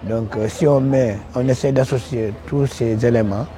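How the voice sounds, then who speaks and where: A man with a Senegalese accent giving a formal, somewhat halting testimonial in an interview. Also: mid-range voice, dark tone. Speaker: local workshop participant.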